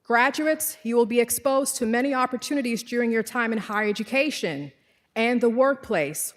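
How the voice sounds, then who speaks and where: A woman in her forties with a Trinidadian accent, speaking as commencement speaker in an earnest, measured and exhorting manner. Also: on the high side, fairly bright tone, long reverb tail. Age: 40s. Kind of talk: formal commencement speech. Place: large hall.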